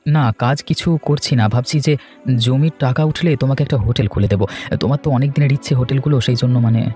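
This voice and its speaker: Cartoon village husband, man actor, mid-range voice, very rapid casual delivery, earnest and reassuring, light music behind. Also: medium pitch, dark tone, lightly acted. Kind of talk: cartoon voice acting.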